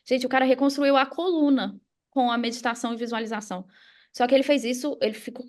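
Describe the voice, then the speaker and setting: A Brazilian woman speaking as a coach and podcast guest, explaining conversationally and emphatically, straight to the listener. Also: on the high side.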